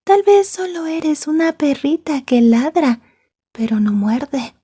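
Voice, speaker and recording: A woman's voice: high-pitched, lively intonation, slow, warm tone, no room sound, studio quality. A woman playing an obsessive, sweetly menacing yandere schoolgirl in an ASMR roleplay, murmuring with mock-polite menace. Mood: taunting.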